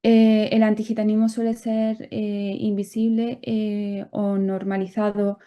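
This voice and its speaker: A woman's voice: mid-range voice, flat delivery, unhurried, clear, resonant tone. Hesitant woman on dull lo-fi audio. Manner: earnest, with frequent hesitations. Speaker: project representative of a nonprofit. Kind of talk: online presentation.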